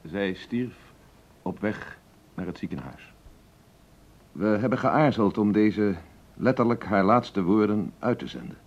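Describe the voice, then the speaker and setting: An actor playing a solemn radio reporter in a drama, giving grave, measured, formal narration. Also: male voice, on the low side, animated. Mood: grave, hushed. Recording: dull old radio recording.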